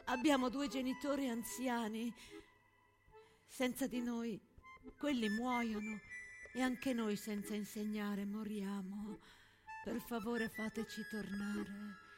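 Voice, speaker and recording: A woman's voice: a little high, fairly even intonation, slow. An actress playing an earnest, idealistic schoolteacher who pleads her case in a dramatic stage monologue. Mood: pleading. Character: earnest, pleading woman schoolteacher devoted to freedom and peace. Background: accordion music.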